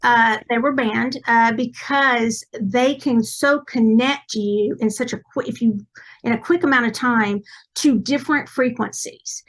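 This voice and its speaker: Guest: chatty East Texas woman, somewhat high voice, rambling, self-correcting talk. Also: steady tone, measured pace, rough recording. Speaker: podcast guest, psychic medium. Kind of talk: casual interview chat.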